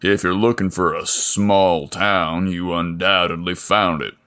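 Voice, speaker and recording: A burly small-town mechanic with a rough, deep voice and an easy, friendly drawl, as a male narrator puts him on. He talks slowly in a storybook reading. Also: flat delivery, sharp tone.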